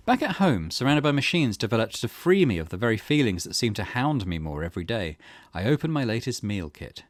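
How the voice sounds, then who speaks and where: A man's voice: fairly low pitch, big pitch swings, brisk, no echo. A man hosting a let's play, reading game text aloud and commenting on it in a thoughtful, musing way.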